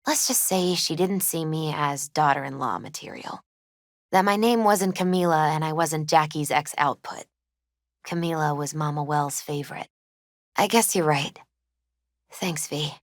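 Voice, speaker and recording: A woman playing a gentle, grieving woman in her twenties in a video game, subdued, hesitant and wistful in naturalistic dialogue. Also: sits low, measured pace, dry recording, clean studio sound. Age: 20s.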